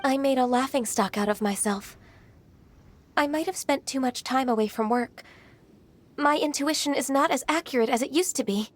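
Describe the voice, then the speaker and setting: High female voice, dutiful game secretary, earnest, formal, courteous, measured. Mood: gentle. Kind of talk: scripted video game voice acting.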